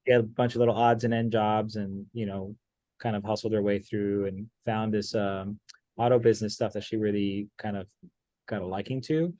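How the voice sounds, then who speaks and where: Low, flat-voiced young man from Miami. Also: moderate pace, no echo. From Miami, Florida. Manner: relaxed, rambling with many fillers. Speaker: guest pool player. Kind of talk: casual interview chat.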